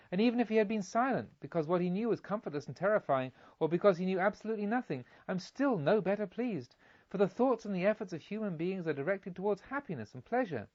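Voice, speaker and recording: A man voicing a proud young merchant pilgrim in a storybook, gruff and slightly tremulous, arguing insistently. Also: sits high, a little mellow, muffled recording, voice pushed up. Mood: displeased, insistent. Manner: insistent, argumentative.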